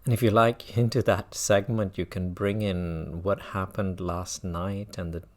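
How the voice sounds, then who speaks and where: A man guiding a mindfulness meditation as a teacher, speaking in measured phrases with long pauses and repetition. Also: sits low, steady tone, a little mellow.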